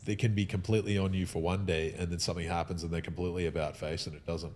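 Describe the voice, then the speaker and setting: Deep, fast-talking opinionated man. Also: fairly even intonation, darkish tone. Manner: emphatic, opinionated, many restarts. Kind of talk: casual podcast conversation.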